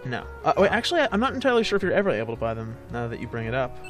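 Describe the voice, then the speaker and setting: A man's voice: mid-range voice, sing-song, racing, a bit bright. A young man giving casual, explanatory commentary as he plays a video game. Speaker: gamer hosting a let's play. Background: game music.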